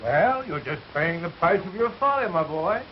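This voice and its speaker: Grasping quack doctor, salesman-like, animated pitch, muffled hissy 1930s soundtrack. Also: moderate pace. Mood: coaxing. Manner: patronizing, salesman-like. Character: smooth-talking, grasping quack doctor, patronizing older man. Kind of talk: 1930s film melodrama acting.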